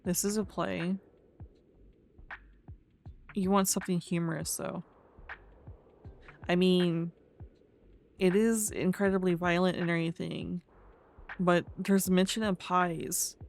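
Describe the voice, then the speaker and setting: A woman commentating casually as she plays a video game, hoarse and losing her voice, talking quietly to herself. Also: lowish voice, flat delivery, slow, pauses often, slight background noise. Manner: quiet, subdued, talking to herself while playing. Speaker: gaming video host. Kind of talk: casual let's-play commentary.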